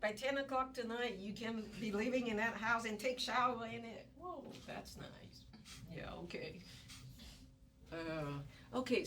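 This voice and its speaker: A woman's voice: mid-range voice, fairly bright tone. A woman from the Malaysia and Singapore area teaching economics in a classroom, chatty and given to acting out little scenes. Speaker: economics lecturer. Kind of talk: classroom lecture. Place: university classroom. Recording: distant classroom recording with faint noise.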